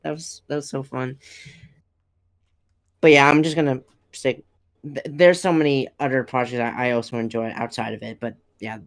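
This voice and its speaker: A man's voice: medium pitch, fairly even intonation, moderate pace, dry recording, studio quality. A man chatting casually on an online panel, moderating and speaking as a voice actor in a hesitant, polite way. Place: online panel over voice call.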